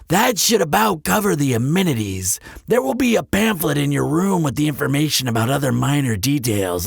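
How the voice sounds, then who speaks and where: A man's voice: a little high, gravelly, breathy edge, dry recording, clean studio sound, exaggerated voice. A man voicing a courteous, eager demon hotel receptionist in an audio roleplay, speaking in polished, salesmanlike customer-service patter. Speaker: hotel concierge character. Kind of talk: scripted audio roleplay addressed to the listener.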